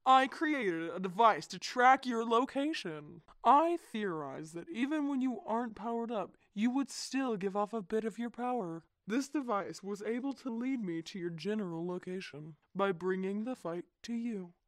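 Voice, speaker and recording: A man's voice: high voice, lively intonation, normal speed, hardly any pauses, a bit bright, no echo. A man playing a boastful, theatrical supervillain inventor in a superhero audio roleplay, pompous and taunting. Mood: gloating.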